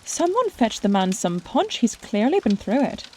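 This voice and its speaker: An actress playing a motherly, no-nonsense woman who takes charge, brisk and caring, in an audio drama. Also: a little high, sing-song, a little mellow, a little hiss or hum.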